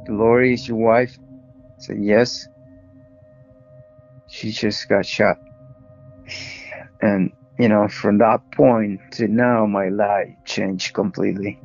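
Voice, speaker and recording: A man with a Honduran Spanish accent and a low, gravelly voice talks very slowly, pausing as he searches for words in his second language. The audio is dull and lo-fi, with quiet music beneath. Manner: slow, halting, with pauses and soft laughs. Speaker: interviewee, widower. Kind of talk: personal recollection in an interview.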